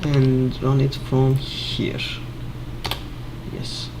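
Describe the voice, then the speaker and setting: A man casually thinking aloud in an office while fixing software, halting with many filler sounds. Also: mid-range voice, completely flat delivery, creaky, noisy background. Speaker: Linux developer screencasting.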